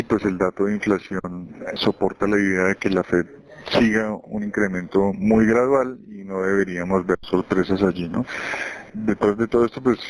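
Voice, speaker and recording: A man with a Colombian accent giving financial commentary as an investment executive, in a measured explanatory way with frequent self-corrections. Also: lowish voice, a little mellow, no echo, low-quality recording. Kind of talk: market commentary on a conference call.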